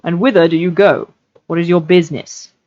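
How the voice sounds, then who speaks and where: A woman's voice: on the low side, steady tone, fairly quick, darkish tone, no echo, lo-fi sound, close to an everyday voice. A woman voicing a stern, noble lord in a storybook reading, formal and grave as he questions and passes judgment. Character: stern, just, noble lord of men, measured judge. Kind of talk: audiobook-style character reading.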